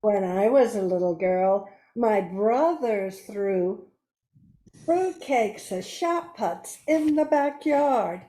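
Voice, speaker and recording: A mellow, very unhurried housewife acted by a woman for a comic radio testimonial. She is sentimental and deadpan. The sound is a roomy, echoey recording. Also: medium pitch. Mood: fond, deadpan. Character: sentimental Southern-town housewife giving a deadpan fruitcake testimonial. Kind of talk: amateur radio comedy ad acting. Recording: echoey room.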